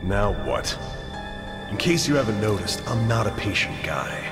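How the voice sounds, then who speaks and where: An actor playing a cocky, wisecracking executioner hero in a video game, dry, flippant and menacing. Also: male voice, fairly low pitch, sing-song, moderate pace. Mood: cold, impatient. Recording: echoey reverb, music behind.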